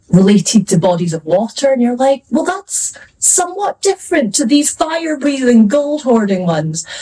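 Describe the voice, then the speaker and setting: A woman's voice: on the low side, dark tone, far from the mic, rough recording. A Scottish woman talking casually on a festival panel, chatty and rambling, laughing often. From Scotland. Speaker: author on a panel. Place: festival venue.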